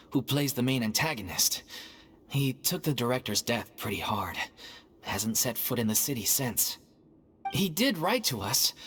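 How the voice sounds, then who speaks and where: A man playing an earnest theater troupe member in a video game, explaining things in an expressive storytelling way. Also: mid-range voice, natural voice. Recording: music behind the voice.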